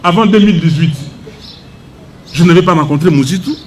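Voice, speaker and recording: A man's voice: on the high side, brisk, slight background noise. An older Congolese man whose first language is Lingala, answering a press conference question in an indignant, emphatic way. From Democratic Republic of the Congo. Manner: indignant, emphatic, switches between French and Lingala. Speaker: opposition politician at press conference.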